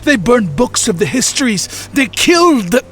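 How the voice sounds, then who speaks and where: Man acting as frantic older male mentor, very high pitch, swooping delivery, theatrical sci-fi drama, urgent. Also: sing-song, moderate pace, fairly bright tone, full character voice. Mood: alarmed, stern. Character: excitable, anxious older male mentor of young squad. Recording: music behind the voice.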